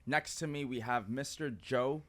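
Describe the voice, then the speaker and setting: A man's voice: medium pitch, unhurried. A man hosting a podcast, opening the show upbeat and asking his guest curious follow-up questions. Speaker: podcast host. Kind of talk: casual podcast chat.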